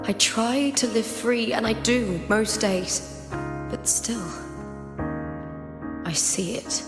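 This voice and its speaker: A woman playing an earnest young female gladiator champion in a video game, soul-searching but resolute, with a heartfelt, deliberate delivery. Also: a little high, music in the background. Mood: reflective, troubled. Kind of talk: dramatic game cutscene monologue.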